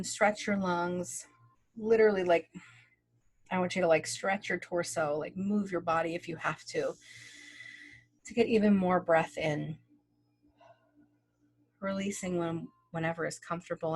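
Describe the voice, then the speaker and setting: A woman leading a guided breathing meditation, speaking slowly and gently with long pauses and casual fillers. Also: on the low side, fairly even intonation. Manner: slow, gentle, soothing instructions with long pauses. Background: soft background music.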